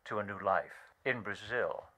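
A man in a radio play acting an earnest, regretful conspirator who justifies himself haltingly and dramatically. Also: lowish voice, sharp tone. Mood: grave, accusing.

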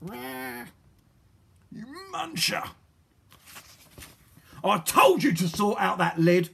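Shouting, sneering storybook villain. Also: medium pitch, big pitch swings, drawn-out words, gravelly, slightly rough, voice pushed up, exaggerated voice. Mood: furious. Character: gruff, nasty, scheming old male villain. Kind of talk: comic children's storybook character voice.

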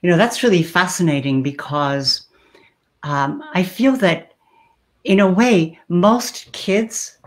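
Slow, deep-voiced woman host. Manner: slow, thoughtful, searching questions. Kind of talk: video call interview. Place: small room indoors. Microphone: webcam on video call.

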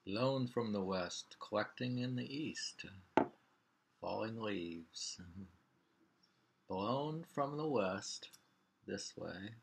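An older man in his sixties reading poems aloud at home with informal, halting commentary, repeating lines and names. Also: lowish voice, animated, creaky, heavy background noise. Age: 60s. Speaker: poetry reader. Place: small living room at home.

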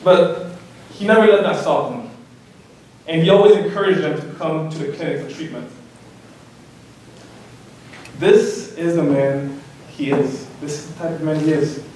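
A young man reads a prepared introduction at a podium, earnest and a little halting. His voice sits slightly high. He is heard from the back of a reverberant hall with faint noise. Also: a little mellow. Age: young adult. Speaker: student introducing the speaker. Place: large lecture hall, indoors. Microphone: podium mic, recorded by a far camera. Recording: echoey hall from far off.

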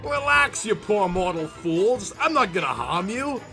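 Bright, cackling, gloating walking corpse. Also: male voice, sits high, very animated, a little slow, vocal fry, soft background music, pitched above the performer's own voice, exaggerated voice. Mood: sly, taunting. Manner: theatrical, cackling, taunting. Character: gloating male walking corpse, mocking and possessive. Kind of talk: horror comic dramatization.

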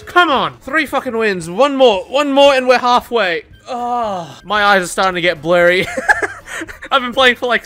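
A young man with a high, very bright voice reacts to his own gameplay from a desk mic at home. He swears freely, shouts at the game and laughs, and sounds a little worn out. Game music plays faintly underneath. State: tired, says his voice is going. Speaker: gaming YouTuber. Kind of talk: live gameplay commentary.

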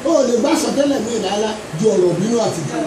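A man preaching a sermon with a Yoruba accent, emphatic and sometimes shouting, on a handheld mic in a church hall. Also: sits high, expressive, very fast, a crowd in the background. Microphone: handheld mic, filmed from the pews. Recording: echoey church hall, from a distance.

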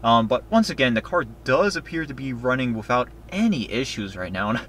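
A man's voice: medium pitch, sing-song, a little fast, a bit bright, slight background noise. A young man vlogging casually, explaining as he shows things on camera in a parking lot and inside a car. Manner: chatty, explaining as he goes. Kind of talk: casual vlog narration.

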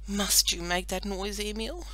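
A woman's voice: mid-range voice, unhurried, pushed and bright, pitched above the performer's own voice. A woman voicing an earnest, protective farm mother who frets over her child in a storybook reading. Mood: chiding. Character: worried, protective farm mother of a naughty boy.

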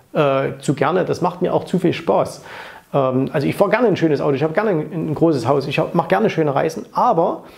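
A man's voice: on the high side, vocal fry, a little mellow. A man in his thirties giving blunt, opinionated money advice straight to camera in an office, speaking on a lapel mic and dropping frequent fillers and tag questions. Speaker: finance coach and channel host. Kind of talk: casual to-camera advice talk. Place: office with framed pictures, indoors.

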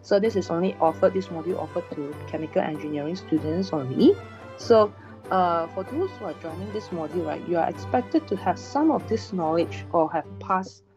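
A woman, a university lecturer giving a chatty online class briefing, her voice slightly hoarse after a sore throat, with frequent fillers and "all right" checks. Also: lowish voice, animated, brisk, music in the background. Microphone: video call webcam. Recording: dull lo-fi online class recording.

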